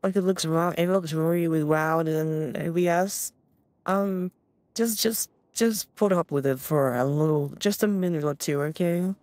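Woman, lowish pitch, voice changer effect, slow deliberate speech, game stream role-play, dry close sound. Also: a little mellow, dry recording. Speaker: streamer role-playing a restaurant customer.

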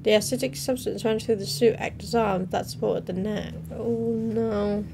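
A young woman hosts a reaction video in a casual, informal way. Her voice sits at a medium pitch. She reads text aloud and drops in her own comments, recorded indoors with some faint noise behind her. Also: steady tone, normal speed, gravelly. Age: young adult. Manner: informal, interjecting between read-out captions. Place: small room at home, indoors. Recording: noticeable background noise.

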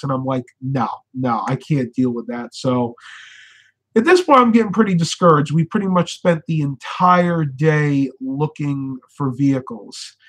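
A young American man chatting casually as the host of a livestream. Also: fairly high pitch. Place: small furnished bedroom, indoors.

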